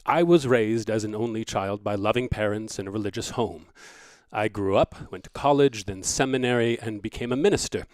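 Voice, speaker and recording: A man playing a mild, earnest minister reading out a personal letter in an audio drama, in a plain and measured way. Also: lowish voice, no echo, natural voice. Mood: earnest, subdued. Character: Mike, mild, earnest minister writing about his life.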